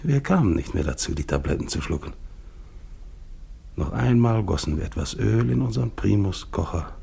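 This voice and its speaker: An actor playing a grim, haunted leader of a doomed polar expedition, speaking in a solemn, incantatory radio play monologue with a gravelly, husky voice. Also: male voice, fairly low pitch, lively intonation, moderate pace, mellow, exaggerated voice. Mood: grim recounting. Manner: solemn, incantatory addresses to ice and storm.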